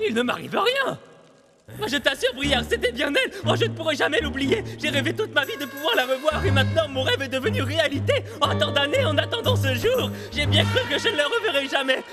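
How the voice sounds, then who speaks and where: A man voicing an excitable, dreamy cartoon animal in a family film dub, stammering and pleading as he gushes. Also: extremely high pitch, big-room sound, exaggerated voice. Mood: flustered.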